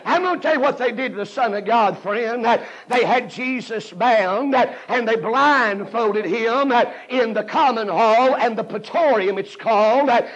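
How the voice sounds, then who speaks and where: A man preaches hellfire. His voice sits extremely high for a man. He exhorts urgently and fervently, hammering short phrases and repeating them. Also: a little slow, few pauses, fairly bright tone, lo-fi sound. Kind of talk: fire-and-brimstone evangelistic sermon.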